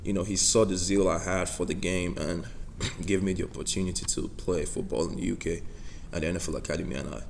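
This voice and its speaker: A young man with a Nigerian accent answering press conference questions in a soft-spoken way, filling pauses with "you know". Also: low voice, steady tone, creaky, slight background noise. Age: young adult. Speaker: college football player.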